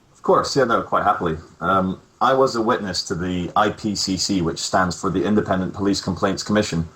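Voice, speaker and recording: A man's voice: deep voice, a little fast, fairly bright tone, rough recording. A man giving a spoken eyewitness account as a guest in an interview.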